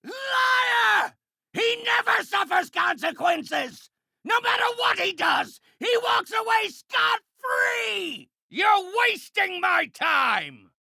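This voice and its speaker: Bitter middle-aged genius scientist villain for a game, super articulate and grandiose, pitch all over, dry close sound. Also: male voice, very animated, normal speed, fairly bright tone, no echo. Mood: enraged, shouting. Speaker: video game voice actor. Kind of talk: dramatic game character voice lines.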